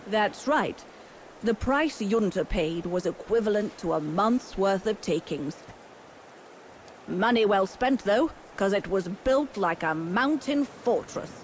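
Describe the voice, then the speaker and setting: Older female innkeeper, gruff, bitter, curt, video game drama, clear background noise. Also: mid-range voice, expressive, slow pace, rough recording. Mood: matter-of-fact. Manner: blunt, curt, world-weary.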